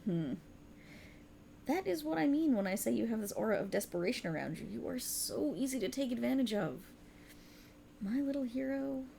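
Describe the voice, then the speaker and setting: A woman's voice: on the high side, expressive, gravelly, warm tone, slight background noise, pitched above the performer's own voice. A woman voicing a cruel, condescending otherworldly warlock patron in a theatrical, taunting ASMR roleplay, close to the microphone. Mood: smug condescension. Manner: taunting, theatrical, mock-affectionate, drawn-out emphasis. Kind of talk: ASMR roleplay, dramatic monologue.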